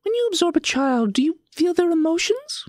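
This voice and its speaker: A woman's voice: very high-pitched, big pitch swings, a little fast, darkish tone, no room sound, clean studio sound. A woman voicing a bookish, inquisitive little girl in an audio story, with formal, pedantic, articulate speech. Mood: probing. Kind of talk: acted audio story dialogue.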